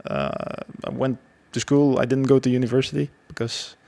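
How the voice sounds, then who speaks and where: A man, telling his story as a podcast guest in a casual, halting way. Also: mid-range voice, level tone, vocal fry, mellow. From Belgium. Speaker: podcast guest, security professional.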